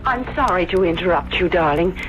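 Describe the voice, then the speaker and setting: An actress playing a gracious, courteous young wife in a radio drama, with a poised manner. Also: male voice, high-pitched, lively intonation, fairly quick, over music. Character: gracious, polite young wife of a wine grower. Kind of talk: 1970s radio drama acting. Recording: dull vintage radio recording.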